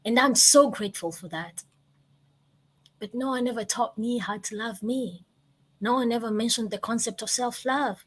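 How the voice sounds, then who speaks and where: A woman in her twenties with a Kenyan accent, telling her story like an inspirational speaker, with emphatic repetition and deliberate pauses. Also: a little high, breathy edge, low-quality recording. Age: 20s. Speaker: guest, motivational speaker.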